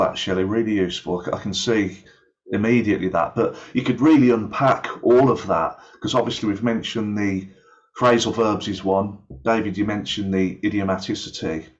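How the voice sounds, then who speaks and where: A man with a fairly deep voice talks through ideas in an online meeting, reaching for examples as he goes. His delivery is hesitant and explanatory. The audio is dull and slightly muffled. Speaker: aviation English course developer.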